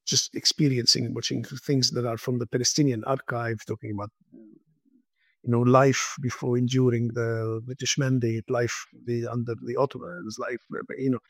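A man's voice: medium pitch, little pitch movement, normal speed, a bit bright, no echo, rough recording. A man interviewing a guest, talking thoughtfully with stumbling restarts. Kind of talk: conversational interview.

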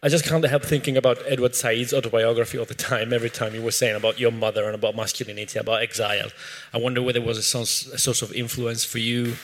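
A man in the audience politely asking a long question at a book talk, pausing with fillers as he goes. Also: mid-range voice, little pitch movement, in a large hall.

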